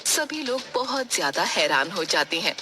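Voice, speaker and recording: A woman's voice: medium pitch, big pitch swings, normal speed, music in the background. A woman giving scripted narration of a children's story as its storyteller.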